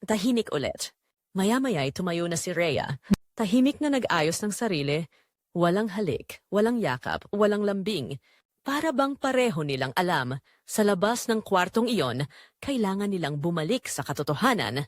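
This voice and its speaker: A Filipino woman reads a scripted drama as narrator. Her voice sits a little low and moves expressively up and down. The sound is dry and close. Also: sing-song, normal speed, dry recording.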